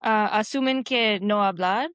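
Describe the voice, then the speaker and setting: Halting woman whose first language is Hindi, clear voice. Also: medium pitch, a bit bright, no room sound. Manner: halting, many "am" fillers, slips into English words. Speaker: podcast guest. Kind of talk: casual conversation in learner Spanish.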